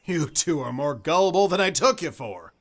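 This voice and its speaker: A stern male skeptic, pompous and dismissive. His fairly high voice sounds exasperated, in radio drama style. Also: big pitch swings, moderate pace. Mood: scornful, impatient.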